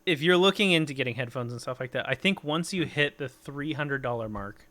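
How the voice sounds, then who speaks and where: A man's voice: medium pitch, animated, fairly quick, pushed and bright. A man in casual stream chat, explaining his equipment at length with lots of fillers and casual swearing. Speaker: streamer talking gear.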